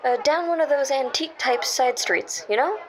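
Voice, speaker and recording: High-voiced, uneasy young woman, acted by a woman. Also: expressive, normal speed, slight background noise. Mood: casual. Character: shaken, self-doubting young woman, wry among friends. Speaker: actor in audio drama. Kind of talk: naturalistic scripted audio drama.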